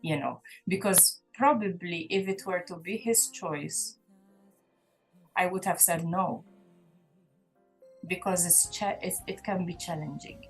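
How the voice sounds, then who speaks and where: Woman, gospel singer as guest, lowish voice, earnest and reflective, soft music underneath. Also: a little slow, pauses often, poor audio. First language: Maltese. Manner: earnest, reflective testimony with restarts. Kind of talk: casual interview chat.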